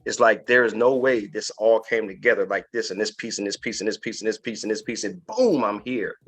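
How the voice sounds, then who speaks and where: A man's voice: lowish voice, expressive, fairly quick, a bit bright, slightly rough. A man hosting an inspirational podcast interview, speaking earnestly and emphatically and repeating phrases for effect. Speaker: podcast host.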